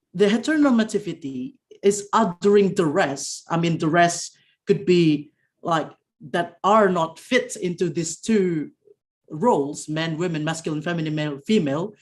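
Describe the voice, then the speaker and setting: A young woman with a very deep voice giving an academic presentation over a video call, halting with frequent fillers and restarts. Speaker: conference presenter.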